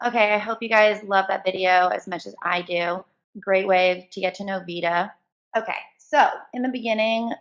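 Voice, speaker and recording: A woman's voice: medium pitch, fairly bright tone. A woman hosting a webinar in a casual presenting style. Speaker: webinar host. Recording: dull lo-fi webinar audio.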